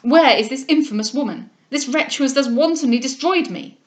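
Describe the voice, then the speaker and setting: An impassioned young gentleman lover, played by a woman narrator, pitched fairly high. The delivery is vehement and imploring, full of urgent exclamations, as in a dramatic storybook reading. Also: expressive, a little fast. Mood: frantic. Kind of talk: dramatic audiobook character reading.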